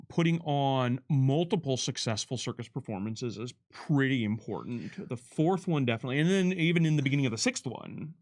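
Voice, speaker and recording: A man speaking as a game developer in a casual commentary chat, agreeable and quick to affirm what is said. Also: mid-range voice. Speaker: game developer reading out and answering viewer questions.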